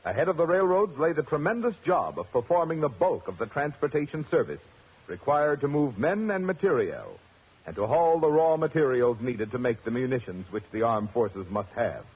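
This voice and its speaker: A man reading a formal, earnest radio announcement in a measured, stately delivery. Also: medium pitch, very animated, husky. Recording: muffled 1950s radio broadcast.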